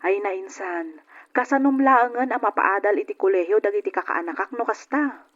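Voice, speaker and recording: A woman's voice: medium pitch, moderate pace, natural voice. A woman acting a generous, outspoken cousin in an old radio drama, frank and persuasive in her talk. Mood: indignant. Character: generous, outspoken female cousin back from abroad. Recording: dull lo-fi old radio recording.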